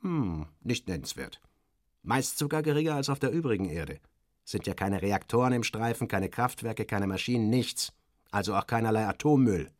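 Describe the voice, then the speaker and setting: A man's voice: fairly low pitch, expressive, moderate pace, darkish tone, no echo, natural voice. Seasoned major, voiced by a male narrator, muttering and sure of himself, colloquial, radio drama, close dry sound. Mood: matter-of-fact. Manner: murmuring, colloquial, matter-of-fact. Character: military major, confident, muttering, grumbling balloon pilot.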